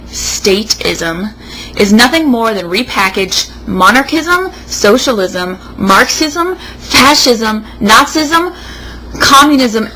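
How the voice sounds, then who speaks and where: Young american woman, bright forceful voice, reading stuff out mock dramatic, bit of background noise. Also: mid-range voice, low-quality recording. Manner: sarcastic, emphatic delivery. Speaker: co-host. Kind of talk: mock-dramatic reading aloud with casual banter.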